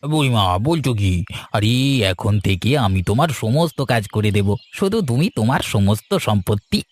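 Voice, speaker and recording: A man's voice: fairly low pitch, expressive, normal speed. A man voicing a glib, wheedling village man in a cartoon, with a cajoling, exclamatory manner. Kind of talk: Bengali cartoon voice acting.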